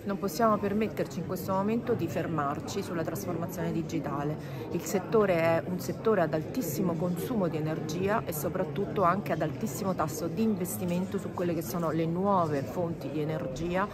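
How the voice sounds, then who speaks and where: Firm middle-aged woman, loud crowd. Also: fairly low pitch, a little fast, hardly any pauses. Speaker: association director. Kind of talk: trade fair interview. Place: busy exhibition hall.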